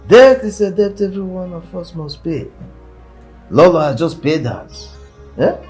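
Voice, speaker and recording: A man's voice: sits high, sing-song, measured pace, a little mellow, soft background music. A man acting a grave, consoling elder in a film drama, with a Nigerian accent. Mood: grave consolation.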